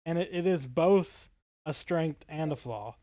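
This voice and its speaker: A man's voice: a little high, lively intonation, unhurried, mellow, dry recording, studio quality. A man co-hosting a podcast, talking casually in a fan discussion. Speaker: podcast co-host.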